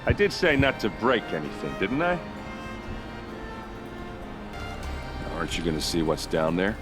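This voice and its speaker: A man playing a gruff, grieving warrior ally in a video game, speaking in a blunt, informal, pressing way. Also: low-pitched, very animated, a little fast, over music. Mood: wry. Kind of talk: dramatic video game dialogue.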